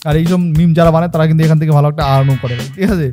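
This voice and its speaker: Young male reaction host, exclamatory and very quick, music behind him. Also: a little high, steady tone. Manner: repetitive, slangy, exclamatory. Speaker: meme reaction video host. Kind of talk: casual reaction commentary.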